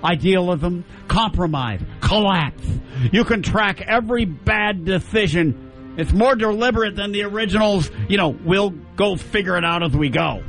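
A man's voice: animated, a bit bright, faint music behind, rough recording. Man hosting and reading a mock debate. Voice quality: deep voice. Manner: argumentative, drawn-out filler "uh"s when hosting. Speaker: host.

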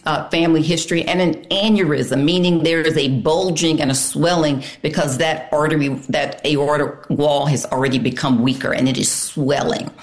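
A woman doctor answering interview questions, measured and careful, pausing with "uh". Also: sits low, steady tone. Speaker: doctor, cardiology guest.

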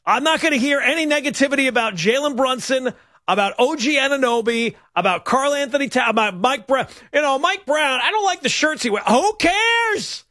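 Middle-aged male sports-talk host, very high bright voice, emphatic, dry close mic. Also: a little fast, dry recording. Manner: excitable, emphatic, mock-indignant.

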